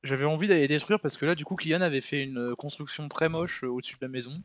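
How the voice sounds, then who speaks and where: A man's voice: fairly high pitch, quick pace. Young man, casual slangy gamer. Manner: slangy, informal. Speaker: gamer playing with friends. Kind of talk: casual chat during co-op gameplay.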